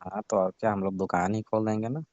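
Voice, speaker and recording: A man's voice: fairly low pitch, little pitch movement, quick pace, darkish tone, dry recording, lightly acted. A man playing a sly young friend of the family who coaxes and insinuates in a casual phone conversation. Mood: scheming. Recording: muffled lo-fi phone call recording.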